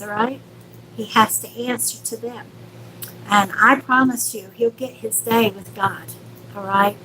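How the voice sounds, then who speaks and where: A woman's voice: a little high, pushed and bright, slight background noise, rough recording. A woman hosting a live stream as a psychic medium, speaking casually and affectionately to viewers with reassurance and endearments.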